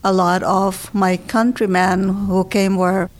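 A woman in her seventies from the Philippines, with a Filipino accent, telling her life story in a measured, reflective way. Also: medium pitch, little pitch movement. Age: 70s. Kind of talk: oral history personal narration.

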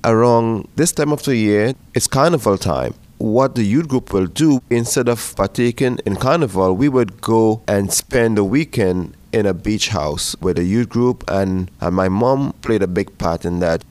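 A middle-aged man from Trinidad and Tobago, speaking in an earnest, unhurried, plain-spoken way about his own life in a personal interview. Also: on the low side, a little mellow. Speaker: church facilities worker.

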